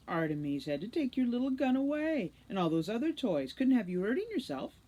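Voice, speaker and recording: A woman's voice: fairly high pitch, very animated, a little fast, dark tone, voice pushed up. A woman reading a storybook, voicing a vain, gushing, teasing teenage girl captor. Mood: breezy scolding.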